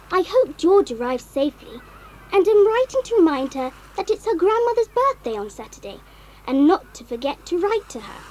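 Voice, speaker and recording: An actress playing a forthright, expressive child adventurer in a children's audio drama. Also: female voice, very high voice, a little hiss or hum, rough recording. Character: forthright child adventurer, eager and plain-speaking.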